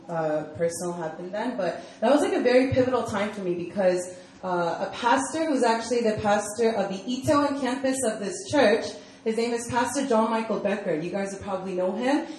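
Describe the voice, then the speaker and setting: A young woman from Virginia speaks in a relaxed, chatty way, leaning often on "like" and laughing easily as she shares her testimony. Her voice sits at a middle pitch. It rings out through a very echoey hall, with faint room noise underneath. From United States (Virginia). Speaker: campus ministry director. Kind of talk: casual personal testimony sermon.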